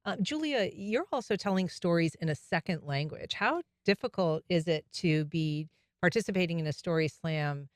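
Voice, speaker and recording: A woman hosting a public radio interview, asking questions in a smooth, curious, conversational way. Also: on the low side, lively intonation, moderate pace, few pauses, no room sound, clean studio sound. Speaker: radio show host. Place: radio studio.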